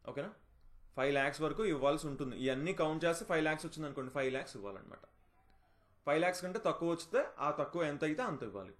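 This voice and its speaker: A man talks quickly in a fairly high-pitched voice. He explains things informally and instructively, slipping in English terms. He often checks in with the listener as he goes. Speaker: job notification presenter.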